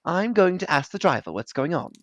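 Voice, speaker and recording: Man doing a bossy teen girl, prim and brisk, raised pitch, dry. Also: big pitch swings, fairly bright tone, no echo, lo-fi sound. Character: bossy clever teenage girl.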